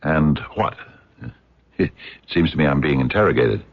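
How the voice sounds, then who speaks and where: An actor playing an earnest, courteous American physicist in a radio mystery, speaking in a hesitant, wordy, stammering way. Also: male voice, a bass voice, animated, moderate pace, heavily acted. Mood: fussy, eager. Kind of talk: radio drama acting. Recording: dull, muffled radio drama recording.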